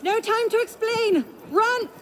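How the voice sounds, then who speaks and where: A woman's voice: very high voice, slow, slight background noise. A woman playing a spirited, brave young adventurer in a video game, exclaiming and playfully unruffled. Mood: urgent. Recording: loud orchestral music and action effects behind.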